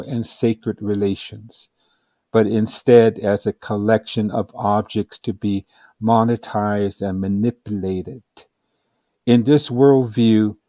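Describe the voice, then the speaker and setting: A man with a dark, mellow voice narrates a scripted advocacy text. His delivery is very slow and deliberate, with a solemn, measured weight. The sound is dry and close, free of room reflections. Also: lowish voice, no echo. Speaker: audiobook reader.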